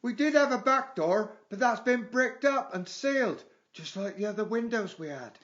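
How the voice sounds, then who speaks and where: A man's voice: high-pitched, expressive, normal speed, fairly bright tone. An actor playing a simple-minded, literal old male sidekick with a naive, chatty manner, in an audio drama. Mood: glum. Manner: naive, chatty, tags phrases with innit. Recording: slightly muffled recording.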